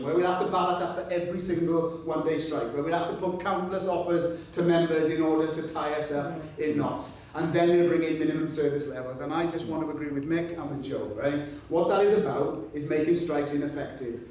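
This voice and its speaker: A man's voice: fairly high pitch, darkish tone, slight background noise. Male speaker at a union meeting, rousing and fiery with rhythmic lists, distant in a very reverberant hall. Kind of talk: rally speech at a union fringe meeting. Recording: very echoey hall, picked up from afar.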